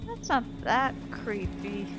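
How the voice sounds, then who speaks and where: A woman's voice: on the high side, very animated, takes it slowly. A woman giving casual gameplay commentary in an offhand, mildly critical way. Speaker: gaming streamer. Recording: game music behind.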